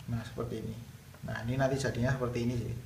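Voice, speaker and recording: A man's voice: mid-range voice, level tone, racing. Male tutorial host, casual step-by-step delivery, distant echoey room sound. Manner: explains step by step. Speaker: video host demonstrating product installation. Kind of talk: casual hands-on tutorial narration. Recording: roomy, distant mic, clear background noise.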